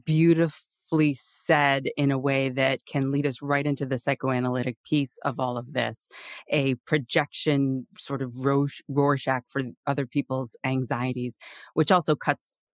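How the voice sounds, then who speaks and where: Man, podcast host, medium pitch, halting roundabout phrasing, conversational, dry studio-like closeness. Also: flat delivery, no room sound. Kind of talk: conversational interviewing.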